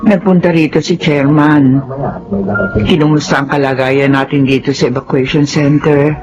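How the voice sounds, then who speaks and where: A woman's voice: low-pitched, fairly even intonation, normal speed, muffled recording, over music, lightly acted. An actress playing an ailing, emotional older mother in a Tagalog radio drama. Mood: frail, tender.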